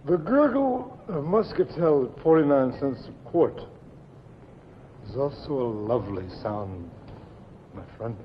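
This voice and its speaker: An actor playing a florid down-and-out drinker who declaims poetically in a 1950s television drama. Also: male voice, medium pitch, animated, a little slow, lo-fi sound, close to an everyday voice. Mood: wry, savoring. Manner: grandiose, theatrical declaiming. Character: florid, poetic down-and-out wino brooding on a dying world. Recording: hollow reverberant old TV soundtrack, noisy.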